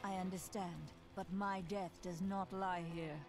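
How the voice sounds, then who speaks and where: Low, cool female necromancer played by a woman. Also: fairly even intonation, a little slow, music in the background, lightly acted. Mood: resolute, cool. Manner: terse, solemn, controlled. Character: grim, fearless female necromancer, cool and resolute. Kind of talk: fantasy video game voice acting.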